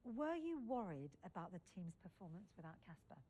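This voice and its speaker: A woman's voice: lowish voice, big pitch swings, a little fast, dark tone, poor audio. A woman playing a composed, direct documentary interviewer in a cinematic game, asking probing questions.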